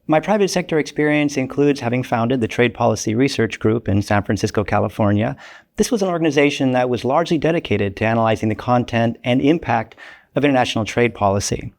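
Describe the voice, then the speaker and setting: Man, older, economics professor, gravelly, formal, measured. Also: medium pitch, animated, a little mellow. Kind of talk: prepared explanatory talk.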